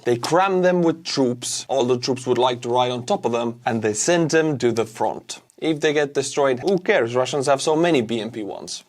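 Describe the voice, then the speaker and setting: A male online host with an Estonian accent and a medium-pitched voice. He talks informally, as in casual news commentary, addressing the listener conversationally. His delivery carries a wry edge. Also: darkish tone. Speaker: YouTube war-news host.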